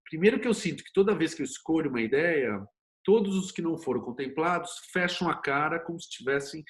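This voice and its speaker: Homem brasileiro de voz levemente aguda. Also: male voice, a little high. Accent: Brazilian. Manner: explains with examples and acted-out quotes, rhetorical questions. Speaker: interview guest, series producer and screenwriter. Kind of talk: conversational interview, anecdotal storytelling.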